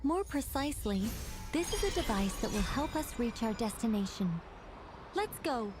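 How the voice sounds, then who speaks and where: A woman voicing a calm, knowledgeable young female guide in a video game, composed and explanatory. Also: a little high, moderate pace. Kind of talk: video game character acting. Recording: game music behind.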